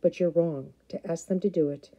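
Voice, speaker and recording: A woman voicing a calm, plainspoken male herder from the far north who talks steadily. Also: a little high, moderate pace, dull audio.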